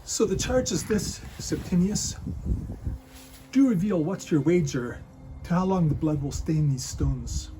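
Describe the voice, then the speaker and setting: Man playing high-voiced, cold Roman soldier. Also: animated, measured pace, noisy background. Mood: taunting. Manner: formal, taunting. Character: cold, calloused Roman soldier devoted to the empire. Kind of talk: dramatic reading.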